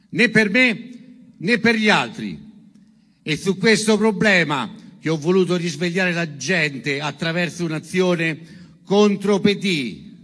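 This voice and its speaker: A man giving a dramatic, declaimed reading of a statement in emphatic, measured phrases. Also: on the high side, a bit bright. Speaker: performer reading a testimony. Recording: long reverberant hall echo.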